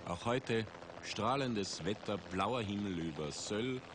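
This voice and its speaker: Man commentating ski racing. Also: on the low side. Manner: measured, rising excitedly at crashes and finishes. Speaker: sports commentator. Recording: 1980s TV broadcast with outdoor noise.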